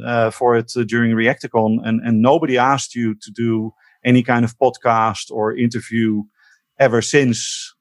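A man with a Dutch accent hosting a podcast in casual, joking chat, with halting, stammering speech. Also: mid-range voice. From Netherlands. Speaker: podcast host.

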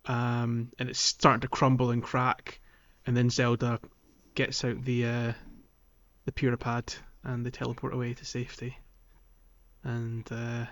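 A man's voice: mid-range voice, completely flat delivery, unhurried, fairly bright tone, muffled recording. A man hosting a podcast, casually chatting about video games. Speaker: podcast host. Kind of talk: casual discussion of a video game.

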